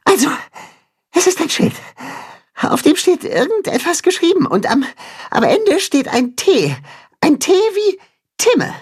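A man voicing a small, excitable mole who eagerly reports news in a children's story. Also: very high-pitched, unhurried, voice pushed up, full character voice. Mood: excited, eager.

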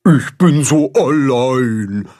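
Schwermütiger Karpfen, vom Sprecher gespielt, langsam und klagend, gedehnte Sätze. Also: male voice, mid-range voice, expressive, slow, darkish tone. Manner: jammernd, langsam, wehleidig. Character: schwermütiger männlicher Karpfen, einsam, poetisch.

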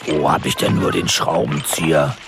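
A man voicing a jovial kindergarten caretaker in a children's radio comedy, with a gravelly voice and a hearty, wry manner. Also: a bass voice, fairly even intonation, moderate pace, noisy background. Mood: busy.

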